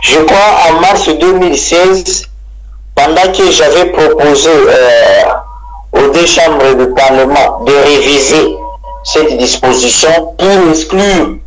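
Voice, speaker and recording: A man's voice: fairly high pitch, slow, over music, rough recording. A man from DR Congo with a Congolese French accent, an expert guest arguing his opinions in an interview, insistent and repeating phrases for emphasis. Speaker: electoral reform expert being interviewed.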